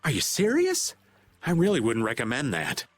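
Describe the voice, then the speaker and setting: A man's voice: mid-range voice, huge pitch swings, moderate pace. An actor playing an easygoing but concerned male companion in a video game.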